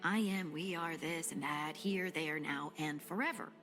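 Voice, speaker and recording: A searching, earnest dwarf scout in a fantasy game. She speaks very slowly and haltingly, musing like someone half in a trance. Faint music sits behind her. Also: low voice, a bit bright. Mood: awed musing. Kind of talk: video game cutscene acting.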